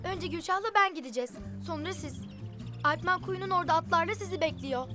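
A woman's voice: extremely high pitch, quick pace, over music. A woman voicing a determined, earnest young child who leads friends in a cartoon adventure. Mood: earnest, decisive. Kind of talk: cartoon voice acting.

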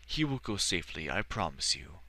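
Man doing an old archmage, serene, kindly, slow and gentle, deep voice. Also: very animated, exaggerated voice. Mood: calm, reassuring.

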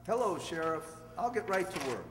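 A man's voice: high-pitched, very animated, moderate pace, fairly bright tone, a little hiss or hum. A man acting the part of an earnest middle-aged doctor in hiding, in an old-time radio drama, reading on stage. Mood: sober. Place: stage in a community hall. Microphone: stand microphone. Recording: echoey hall stage, distant reverberant sound.

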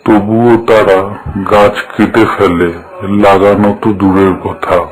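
A man voicing a grumpy, sorrowful talking tree in a cartoon story, with a weary, measured way of talking. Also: lowish voice, fairly even intonation, low-quality recording. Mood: sorrowful, confiding. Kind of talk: scripted cartoon story acting.

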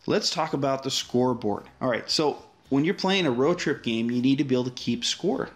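A man's voice: mid-range voice, fairly quick. A man, the app's developer, casually walking through a demo of his app with frequent fillers and restarts. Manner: relaxed, friendly walkthrough with frequent fillers.

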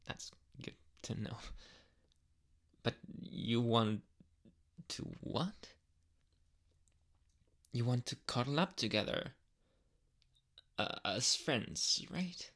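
Man playing a nervous, stammering tsundere anime boy, slow-paced. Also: medium pitch, lively intonation, a bit bright, exaggerated voice. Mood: shy, flustered. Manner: stammering, flustered, hesitant with nervous laughs. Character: nervous tsundere teenage anime boy, flustered secret crush. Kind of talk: intimate ASMR boyfriend roleplay.

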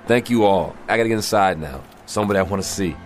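An actor playing a bewildered, weary, earnest aging boxer in a radio drama, speaking haltingly and colloquially. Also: male voice, fairly low pitch, over loud music, natural voice. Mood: distracted, friendly. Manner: halting, colloquial, repeating himself. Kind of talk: modern radio drama acting.

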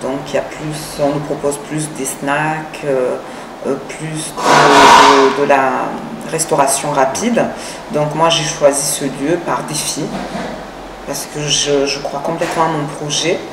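A woman in her thirties explaining her project in a conversational interview, pausing often between short phrases. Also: low-pitched, flat delivery. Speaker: restaurant owner. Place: restaurant terrace, dining room and working kitchen. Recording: distant, echoey, loud background noise.

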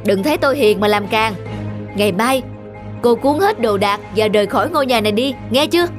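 Woman as arrogant male scholar, cartoon folktale, boastful, high-pitched, music behind. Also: animated, brisk, lightly acted. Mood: haughty, threatening. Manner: boastful, haughty, demanding.

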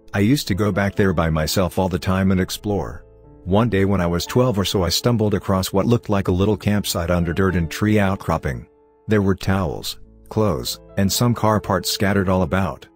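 A man with a very deep voice narrates horror stories in a steady, measured way. Soft music plays faintly underneath him.

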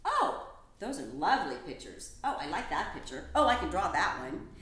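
A woman's voice: lowish voice, sing-song, moderate pace, a bit bright, a little hiss or hum. A woman voicing a polite, particular little male mouse in a children's story, chatty and eager. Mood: pleased. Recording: roomy echo.

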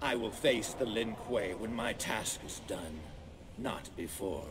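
An actor playing a grim, resolute ninja warrior with a solemn, formal way of speaking. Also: male voice, lowish voice, expressive, a little slow, background noise.

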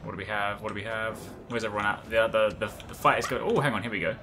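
A man in his thirties giving casual, chatty, excitable gameplay commentary, often repeating short phrases. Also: fairly low pitch, fast, faint music behind. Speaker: gaming video host. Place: indoors at a desk, gaming setup.

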